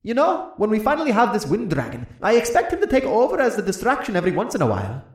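A man's voice: sits high, huge pitch swings, quick pace, reverberant, pitched above the performer's own voice. A man voicing a cheeky, put-upon adolescent male silver dragon who huffs and grumbles in a fantasy audiobook. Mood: grumbling. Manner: huffy, grumbling.

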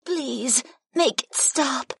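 A performer voicing a tormented female monster goddess in an audiobook, pleading urgently and devotedly in a husky, breathy woman's voice. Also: on the high side, expressive, unhurried, no echo, clean studio sound, voice pushed up, exaggerated voice. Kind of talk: audiobook character voice.

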